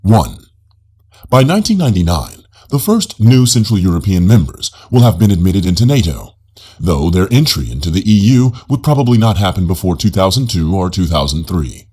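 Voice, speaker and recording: A man reading a nonfiction audiobook in a formal, measured manner. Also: sits low, big pitch swings, mellow, breathy edge. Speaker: audiobook narrator.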